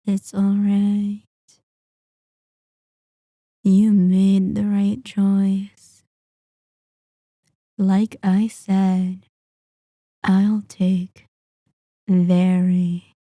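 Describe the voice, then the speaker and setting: Woman doing a sadistic vampire mistress for asmr, super slow n breathy, really dark mellow voice, possessive. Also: mid-range voice, no echo, studio quality. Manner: slow, lingering, condescending endearments. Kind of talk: roleplay ASMR.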